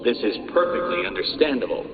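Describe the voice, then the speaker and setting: A man's voice: a little high, big pitch swings, fairly quick, gravelly, crowd noise, full character voice. Smug condescending game villain man, pompous and swooping all over, big echoing muffled sound. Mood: smug, disdainful. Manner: pompous, condescending, elaborately worded insults. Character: arrogant, sneering, egotistical male villain obsessed with puzzles. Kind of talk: video game villain taunting. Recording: muffled PA-like sound, long reverb, background voices.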